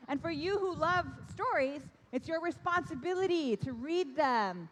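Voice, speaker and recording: A woman's voice: high-pitched, animated, normal speed, a bit bright, a little hiss or hum. A young adult woman, a Korean native speaker raised in Canada, hosts an awards ceremony for young readers with upbeat, coaxing call-and-response. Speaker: event host. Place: large hall. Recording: long reverb of a big hall.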